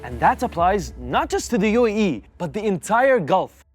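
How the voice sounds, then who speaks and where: Thirties male host, animated persuasive narration, music underneath. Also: sits high, moderate pace, breathy edge. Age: 30s. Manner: upbeat, persuasive storytelling. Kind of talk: scripted narration.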